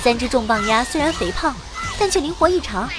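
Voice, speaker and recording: A woman playing a young heroine who recounts her party's fantasy adventure in vivid, expressive audio drama narration. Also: high-pitched, a little fast, loud music behind the voice. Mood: vivid narrating.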